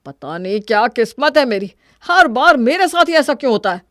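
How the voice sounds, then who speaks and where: A woman's voice: a little high, sing-song, moderate pace, a bit bright, dry recording, studio quality. A woman voicing a suspicious, bitter young husband in a cartoon family story. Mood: accusing. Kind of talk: Hindi cartoon moral-story voice acting.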